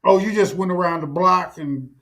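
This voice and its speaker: A man's voice: high-pitched, normal speed, fairly bright tone. A middle-aged American man hosting a casual chat, talking slangily and often repeating his words. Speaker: talk show host. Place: small room indoors.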